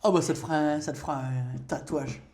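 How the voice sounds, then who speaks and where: A young man co-hosting a podcast, telling a story in a halting way with many fillers and pauses. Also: fairly high pitch, fairly even intonation, darkish tone. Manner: hesitant, filler-heavy storytelling. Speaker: podcast co-host. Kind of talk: casual chat while painting.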